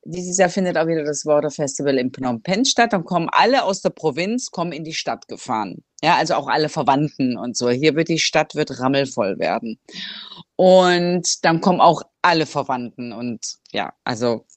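A German woman hosts a relaxed conversation, her voice low and sitting close and dry on the mic. She thinks aloud as she goes, with plenty of fillers and restarts. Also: normal speed, dry recording. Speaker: podcast host. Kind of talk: casual conversation. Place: Cambodia, living abroad.

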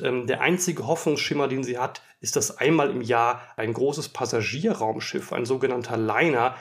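Articulate man hosting a podcast. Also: medium pitch. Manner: articulate, long explanatory sentences. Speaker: podcast host. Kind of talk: podcast conversation with book review.

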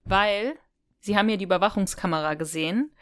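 A woman's voice: medium pitch, fast, a bit bright. A woman co-hosting a podcast, explaining and recapping in a casual, conversational way. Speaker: podcast co-host. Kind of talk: casual chat, recapping and explaining an audio drama episode.

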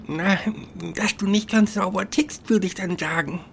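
A man's voice: sits high, unhurried, gravelly, slight background noise. A man voicing a hungry, cheeky but friendly field hare in a fairy-tale radio play, colloquial and wry. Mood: cheeky, persuasive.

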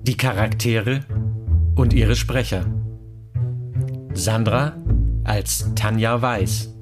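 A man's voice: fairly low pitch, fairly even intonation, extremely slow, over music. A man reading out scripted cast credits for an audio drama.

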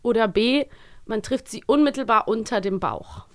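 A woman's voice: on the high side, normal speed, no echo, clean studio sound. A woman hosting a podcast, chatting casually in a playful, teasing way. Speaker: podcast host. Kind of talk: casual chat.

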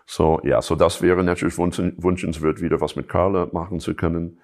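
Deep gravelly man, American accent. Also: flat delivery, fairly quick, darkish tone. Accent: American English. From Chicago, USA. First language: English. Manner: hesitant, many fillers and restarts. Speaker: jazz musician and teacher, guest. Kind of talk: casual interview talk.

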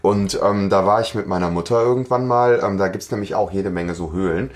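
A man's voice: low-pitched, a little fast. A man co-hosting a casual fan podcast, telling things in blunt, slangy, colloquial language and acting out bits as he goes. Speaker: podcast co-host. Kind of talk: casual fan podcast chat, anecdotal retelling.

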